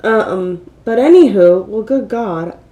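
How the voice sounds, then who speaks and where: Slightly congested, mellow-voiced woman vlogger. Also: mid-range voice, lively intonation, slow. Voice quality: slightly nasal. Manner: chatty, rambling, self-deprecating, exclaiming. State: mildly congested from a cold. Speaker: beauty vlogger, channel host. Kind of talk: casual get-ready-with-me makeup chat.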